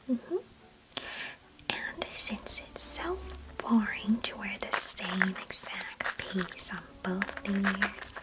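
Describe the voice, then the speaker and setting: A woman's voice: a little high, low-quality recording. A woman playing a chatty, doting young cousin in an ASMR roleplay, whispering breathily with playful grandeur. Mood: playful teasing.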